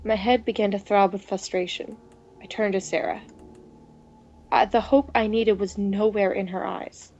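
A woman gaming co-host with an expressive delivery, reading visual novel dialogue aloud. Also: medium pitch, normal speed, highs cut off, slight background noise.

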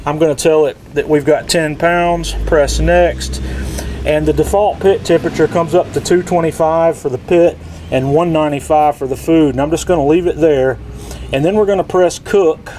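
A middle-aged man from the sound of a casual product tutorial, speaking. His voice sits a little high for a man. He explains each step in a folksy way, with some outdoor ambient noise behind him. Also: dark tone. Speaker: product demonstrator. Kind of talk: casual instructional how-to narration.

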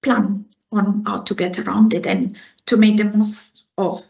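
A woman's voice: mid-range voice, flat delivery, measured pace, vocal fry, a little mellow. Italian-accented woman on a video call. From Italy. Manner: earnest, explains with hand gestures. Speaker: guest speaker, researcher. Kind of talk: Q&A after a talk, explaining. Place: home room, indoors. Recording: slightly dull video call audio.

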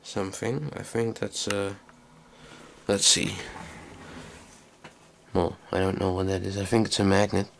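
A man giving a casual, unscripted hands-on demonstration in a low, creaky voice, recorded on a handheld camera microphone. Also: steady tone, measured pace, pauses often, slight background noise. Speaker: hobbyist video maker. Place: small indoor workroom.

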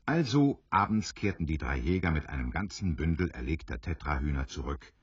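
A man narrating an adventure radio play as an unhurried, knowing storyteller. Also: a bass voice, expressive, slightly rough, no room sound, highs cut off, exaggerated voice. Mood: confiding.

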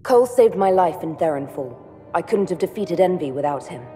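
A woman's voice: lowish voice, expressive, fairly quick, warm tone, husky, faint music behind. A woman playing a firm, resolute young elf leader in a video game. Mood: composed. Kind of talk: video game dramatic acting.

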